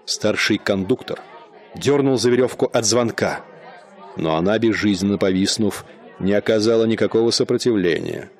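A man narrating a radio play in a measured, dramatic storytelling manner. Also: lowish voice, some chatter behind.

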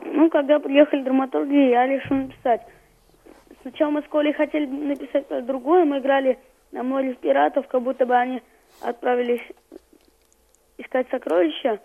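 A man's voice: very high voice, level tone, fairly quick, gravelly. A thirteen-year-old boy giving casual, hesitant answers as a guest in an interview. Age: 13 years old. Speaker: young playwright guest on radio. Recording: muffled narrow-band phone call.

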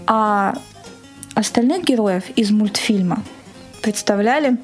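A woman in a casual interview, recounting an event as its organizer in an animated storytelling way. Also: mid-range voice, slow, soft background music. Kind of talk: casual spoken interview recollection.

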